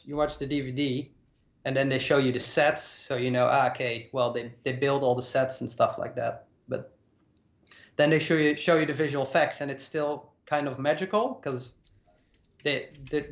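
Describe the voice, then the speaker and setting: A man's voice: mid-range voice, steady tone, moderate pace. Man, late 20s, Dutch accent, hesitant, lots of fillers, roomy echo, muffled lo-fi sound. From Netherlands. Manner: hesitant, many uh fillers and repeated words. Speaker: guest compositing artist. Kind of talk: casual talk about his career. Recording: echoey, dull lo-fi sound.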